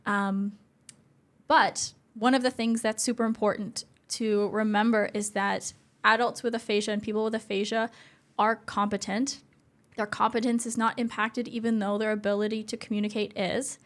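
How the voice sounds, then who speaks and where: A woman's voice: medium pitch, level tone, moderate pace. A young adult woman, speaking as an interview guest and explaining things earnestly, step by step. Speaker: interview guest, communication support specialist.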